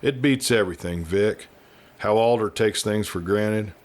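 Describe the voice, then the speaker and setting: A man's voice: fairly low pitch, measured pace, lightly acted. Man voicing a spirited young frontier schoolmarm. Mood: amused.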